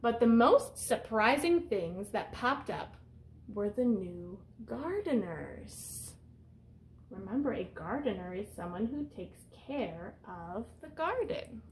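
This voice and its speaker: A woman's voice: mid-range voice, big pitch swings, slow pace, slight background noise, poor audio. A woman in her thirties reading a picture book aloud as a teacher, explaining simply and putting questions to young children. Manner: instructive, simple phrasing aimed at young children. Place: small room indoors.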